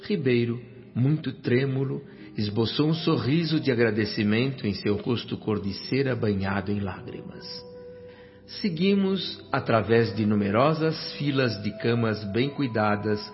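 A man playing a reflective spirit who narrates his journey in a radio drama, solemn, with a Brazilian accent. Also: fairly low pitch. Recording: dull radio-drama sound, music behind.

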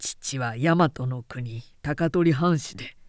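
Audiobook role: grave young male wandering avenger, voiced by a woman, lowered voice, formal samurai diction, proud restraint. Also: no echo, clean studio sound. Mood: grave, restrained. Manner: formal, measured samurai speech. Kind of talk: audiobook character dialogue.

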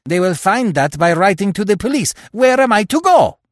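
A man's voice: high-pitched, big pitch swings, moderate pace, no echo, clean studio sound, voice pushed up. A man voicing a strained, raging big fellow. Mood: enraged. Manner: raised, strained, pleading. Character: big, frightened, resentful young man.

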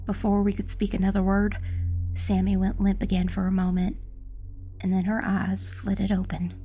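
A woman giving scripted first-person narration for a dark fantasy audiobook. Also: medium pitch, monotone, normal speed, soft background music.

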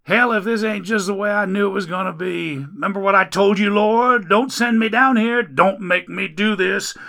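A man voicing a griping, foul-mouthed male rescuer who grumbles loudly in folksy slang. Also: a little high, normal speed, creaky, pitched above the performer's own voice.

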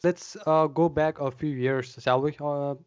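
A man's voice: a little high, steady tone, unhurried, a little mellow, clear, resonant tone, dry recording. A man hosting a casual podcast interview, leading the questions with lots of "uh" and "um". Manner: friendly, steering questions with fillers. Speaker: podcast host. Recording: dry, dull lo-fi sound.

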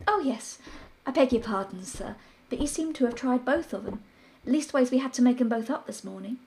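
A woman's voice: a little high, lively intonation, fairly quick, darkish tone, pitched above the performer's own voice. A woman doing a flustered young housemaid. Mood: deferential. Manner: breathless, apologetic, rustic grammar. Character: young female servant maid, flustered and deferential.

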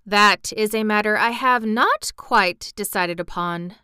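Lady doing a chilly bossy plant prince, slow and formal, crisp clean voice. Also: medium pitch, bright, forceful tone, dry recording, studio quality. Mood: cold, imperious. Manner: cold, haughty, formal. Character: cold imperious vegetable prince, male.

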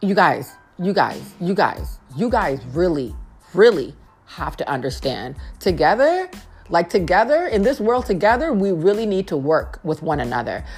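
A young woman with a naturally low, deep-toned voice hosts her own podcast. She talks straight to her listeners in a casual, heartfelt way and repeats phrases to press her point home. Faint music plays behind her. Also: big pitch swings, normal speed, breathy edge. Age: young adult. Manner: earnest, repeats phrases for emphasis. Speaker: podcast host.

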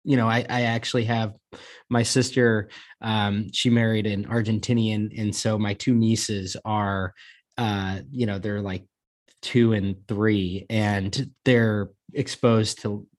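A man's voice: on the low side, little pitch movement, slow pace. A man hosting an interview, asking long, rambling questions and reacting with enthusiasm. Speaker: podcast host, interviewer. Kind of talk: conversational interview.

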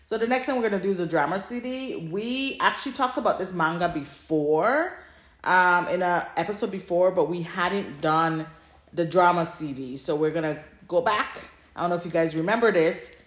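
A woman's voice: fairly low pitch, moderate pace. A woman co-hosting a podcast in casual fan chat, recorded in an echoey room. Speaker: podcast co-host.

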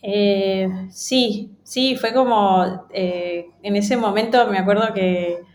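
A woman's voice: mid-range voice, slow pace, darkish tone. Woman, slang, candid interview guest, mid-range voice, roomy echo. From Ecuador. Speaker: interview guest, design professional. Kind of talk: casual conversational interview. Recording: roomy, echoing sound.

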